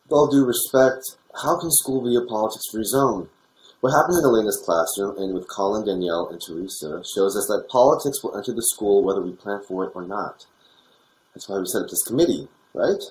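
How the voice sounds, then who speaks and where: A man's voice: lowish voice, fairly quick, darkish tone, lightly acted. A young man playing a challenging school committee member in a scripted dramatization. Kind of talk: scripted dramatization on video call. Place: small room, video call. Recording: roomy laptop microphone.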